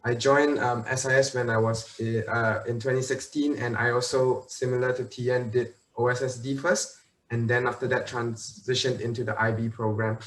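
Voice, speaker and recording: A young man on a video call talks through his school choices in a relaxed way, with lots of fillers. His intonation stays very flat. He sounds set back from the mic in a roomy space. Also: medium pitch, normal speed, far from the mic. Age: young adult. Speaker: alumnus guest, university student. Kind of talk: virtual panel interview.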